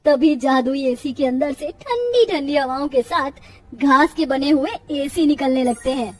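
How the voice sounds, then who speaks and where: A woman's voice: high voice, lively intonation, a little slow, a little mellow, no room sound, recorded from a distance. A woman narrator reading a scripted cartoon story.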